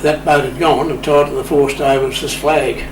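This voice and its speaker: A man talks informally as an interview guest, his voice a little on the high side. He is in a reverberant room with plain background noise, telling stories in an unscripted way. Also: flat delivery, normal speed. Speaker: radio club interview guest. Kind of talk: anecdotal, informal talk. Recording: echoey room, distant mic, clear noise.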